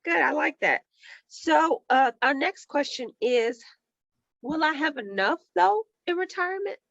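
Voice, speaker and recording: A woman with a hoarse, fairly high voice reads out clients' questions in a friendly, conversational way. The sound is dry and a little dull, as on a lo-fi recording. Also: expressive, a little slow, fairly bright tone, no echo. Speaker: client relations manager. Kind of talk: reading out viewer questions.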